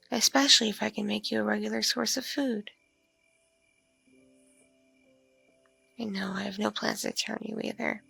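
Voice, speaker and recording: A seductive mature vampire mother, played by a woman, speaks in a creaky, breathy voice for an intimate ASMR roleplay. She is slow and teasing, hungry and a little condescending, with hums and pauses. The voice is close and dry, with faint music behind. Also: mid-range voice, pushed and bright, dry recording, heavily acted. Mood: soothing, condescending.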